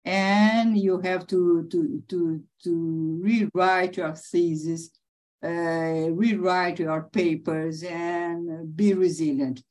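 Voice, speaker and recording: Slow, halting middle-aged woman professor. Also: on the low side, fairly even intonation, darkish tone. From Brazil. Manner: halting, many restarts and fillers, laughs often. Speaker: interviewee, professor. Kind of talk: conversational interview.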